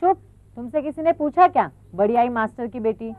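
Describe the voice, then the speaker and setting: A woman's voice: on the high side, animated, a little fast, close to an everyday voice. A woman playing a worried village mother with a snappish manner. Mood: scolding. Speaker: actor in an educational training film. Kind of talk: acted film dialogue. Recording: muffled, slightly noisy soundtrack.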